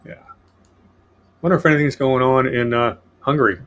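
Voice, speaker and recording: A man's voice: medium pitch, normal speed, a bit bright. A man hosting a casual political podcast, reading from his notes in a sardonic way. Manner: sardonic, reads notes aloud. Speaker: podcast host.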